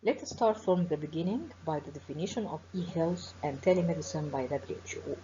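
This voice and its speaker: A middle-aged Egyptian-accented woman delivers a formal online lecture in a low, mellow voice. She speaks in measured phrases, pausing often with small fillers. The webinar audio is dull and lo-fi, with a faint hiss underneath. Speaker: professor giving a webinar lecture.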